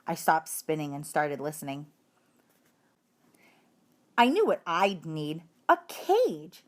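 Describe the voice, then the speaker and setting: A woman reading a children's story aloud in an expressive, emphatic narrating style. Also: sits low, takes it slowly. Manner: animated, emphatic delivery. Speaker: audiobook reader.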